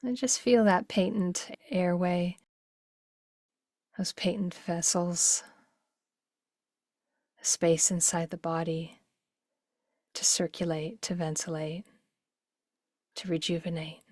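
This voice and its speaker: Low-voiced woman guiding a meditation. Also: steady tone, no room sound, clean studio sound. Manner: gentle, unhurried, soothing delivery with soft breathy phrase endings. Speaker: meditation guide. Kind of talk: guided meditation.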